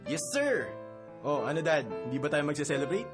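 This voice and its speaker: A man's voice: a little high, big pitch swings, fairly quick, music in the background. A man with a Filipino accent playing a cheeky college-age elder brother in a radio drama, playful and casual. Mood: teasing, proud.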